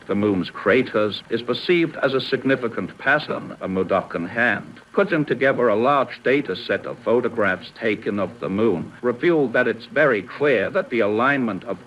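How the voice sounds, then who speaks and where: A man's voice: medium pitch, a bit bright, natural voice. Man as a dull-sounding, clinical alien scientist. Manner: formal, clinical. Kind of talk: scripted scientific report reading. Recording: dull, noisy VHS-style audio.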